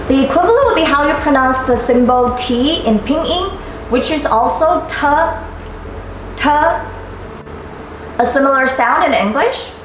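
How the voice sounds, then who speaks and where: Lady teaching pronunciation, high voice, super roomy echo, cheerful and playful. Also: noisy background. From Taiwan. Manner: upbeat, playful, drilling sounds clearly for repetition. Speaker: Mandarin teacher. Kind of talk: scripted language lesson. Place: living room at home. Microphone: camera at a distance, seated facing it. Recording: very echoey room, faint music.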